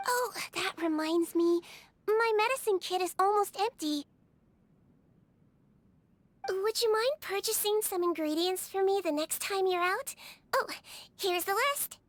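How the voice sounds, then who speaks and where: Tiny, very high-pitched nurse creature girl. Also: measured pace, long pauses, no echo. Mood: chatty, helpful. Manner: sweet, polite, chirpy bedside manner. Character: small cheerful melusine nurse girl, caring and gently quirky. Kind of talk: animated video game character voice acting.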